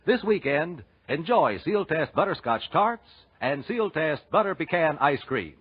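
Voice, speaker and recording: A man's voice: on the high side, very animated, a little slow, breathy edge. A man working as an announcer, reading scripted commercials and credits in 1940s radio style. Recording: muffled 1940s radio broadcast, music.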